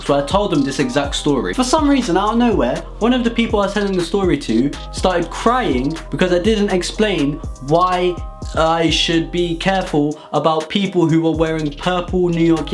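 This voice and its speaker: A young British man telling absurd comic stories to viewers in an animated, mock-serious, slangy way. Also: fairly high pitch. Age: young adult. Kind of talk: rambling comedic storytelling to viewers.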